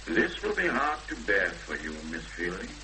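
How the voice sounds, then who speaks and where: Welsh minister, solemn, persuasive, rhetorical, deep, expressive pitch, 1970s radio drama. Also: male voice, moderate pace, exaggerated voice. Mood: solemn, firm. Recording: noisy old radio broadcast recording with hum.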